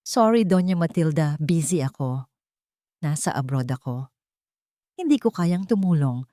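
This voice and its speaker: A woman voicing a dismissive high-society friend brushing off a plea for help. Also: lively intonation, measured pace, dark tone, no echo, clean studio sound. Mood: evasive. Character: evasive high-society friend making excuses.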